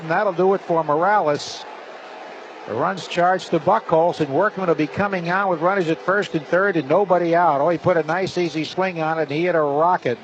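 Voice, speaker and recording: A man working as a play-by-play announcer, giving live baseball commentary in the style of a radio broadcast. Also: fairly high pitch. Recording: slightly dull broadcast, faint stadium noise.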